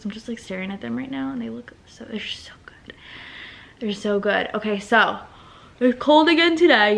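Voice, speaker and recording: A young woman chatting casually at home in a vlog, rambling and thinking out loud about her day and small business work. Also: on the high side, vocal fry, a little hiss or hum. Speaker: vlogger and small business owner.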